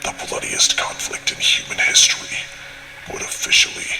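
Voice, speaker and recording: A man voicing a stern male alien warrior who delivers a formal, measured audio log report in a gravelly voice. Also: echoey room, noisy background, lightly acted. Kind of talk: scripted in-character audio log narration.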